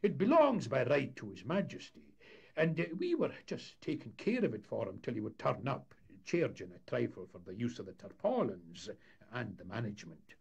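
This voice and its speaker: A crafty old skipper, smooth-talking and sly, slightly raised from the reader's own gravelly, husky voice, as a man puts him on. He drawls with mock innocence, in a storybook reading. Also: medium pitch, sing-song, moderate pace, voice pushed up, exaggerated voice.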